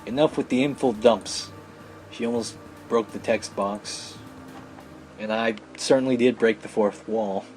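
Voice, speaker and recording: A man's voice: on the low side, steady tone, unhurried, darkish tone, soft background music, low-quality recording. A man hosting a let's play, reading a visual novel aloud with sardonic, casual asides. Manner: sardonic asides, breaking off to joke.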